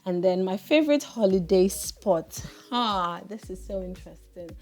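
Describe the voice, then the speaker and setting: A woman's voice: mid-range voice, lively intonation, moderate pace, darkish tone. A woman in her thirties with a Nigerian accent, chatting to viewers in a reflective, casual voice-over while she makes soap. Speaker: soap maker and channel host.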